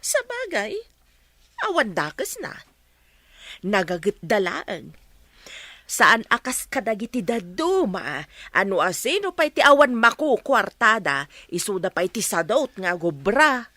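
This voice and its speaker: A talkative housemaid acted by a woman in an Ilocano comedy radio play. Her voice is bright and very animated, close and dry, with cheeky, outspoken exclamations under the polite address. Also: mid-range voice, measured pace, no echo, exaggerated voice. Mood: chatty, deferential. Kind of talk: comedy radio drama acting.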